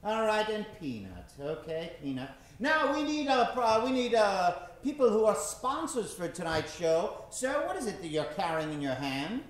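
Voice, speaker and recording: A man's voice: high voice, sing-song, normal speed, hardly any pauses, reverberant. A man hosting a live improv show from a theater stage, with theatrical, showman-like patter for the audience. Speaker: host.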